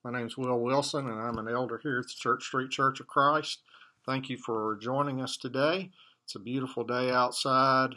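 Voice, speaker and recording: Bright-voiced older man, gentle devotional. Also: mid-range voice, steady tone, moderate pace. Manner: gentle, hesitant, many fillers. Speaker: church elder. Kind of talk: devotional talk and prayer. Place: office with wall map, indoors. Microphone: webcam at desk.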